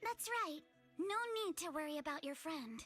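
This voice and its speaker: A playful anime game girl, very high and bright. Her delivery is animated and teasing, swinging up and down over music. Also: moderate pace. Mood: amused.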